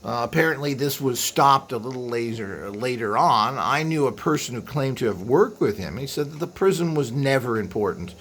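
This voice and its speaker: A man hosting a video with an unscripted explanatory monologue, rambling and halting as he trails into asides. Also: mid-range voice, expressive, a bit bright. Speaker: video host. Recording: clearly audible background noise.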